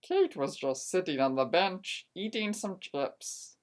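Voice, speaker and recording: A woman's voice: on the low side, lively intonation, slow. A woman narrating a first-person story as a theatrical dramatic reading.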